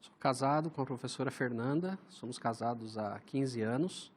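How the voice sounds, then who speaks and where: A man's voice: medium pitch, moderate pace, a little hiss or hum. A man from the interior of São Paulo state with a São Paulo interior Brazilian Portuguese accent, a pastor answering questions about himself briefly and plainly. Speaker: pastor and guest.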